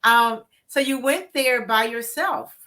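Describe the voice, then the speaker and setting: A woman's voice: fairly high pitch, slow, pushed and bright. A woman hosting an interview podcast, upbeat and encouraging as she introduces and questions her guest. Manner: upbeat, encouraging, laughs easily. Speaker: podcast host.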